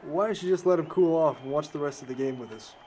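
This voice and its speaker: A man's voice: fairly high pitch, warm tone, slight background noise, lightly acted. A young man playing an earnest, reflective teenage boy in an amateur audio drama, choosing his words thoughtfully. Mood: calm, friendly. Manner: earnest, measured wording, reflective. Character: earnest, thoughtful, non-judging teenage boy, quiet outsider.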